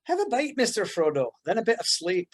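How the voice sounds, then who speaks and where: Man acting humble hobbit companion, high-pitched, swooping intonation, folksy, earnest, devoted. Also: very animated, brisk, husky, full character voice. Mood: gently encouraging. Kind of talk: table read of a film script.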